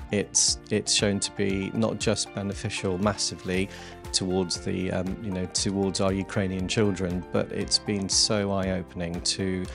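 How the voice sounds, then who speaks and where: A man's voice: low voice, creaky. A man in his forties, a school teacher, talking in an interview in a classroom with a handheld mic, earnest and full of fillers. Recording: music bed under voice. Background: soft guitar music.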